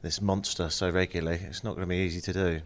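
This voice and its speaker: A man's voice: low voice, little pitch movement, quick pace, a little hiss or hum. A man hosting a casual interview, sounding a bit stuffed up with a cold. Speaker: podcast host, interviewer.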